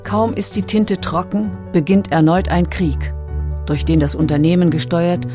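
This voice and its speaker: A woman narrating a scripted documentary in a sober, measured manner. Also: fairly low pitch, steady tone, music in the background.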